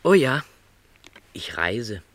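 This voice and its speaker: Low male voice, lonely travelling salesman, radio drama, modest, polite, reflective, dry close sound. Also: very animated, very slow, creaky, fairly bright tone, no echo, full character voice. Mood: gently reflective. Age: middle-aged. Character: lonely, contented, no longer young travelling salesman. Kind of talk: radio play dialogue.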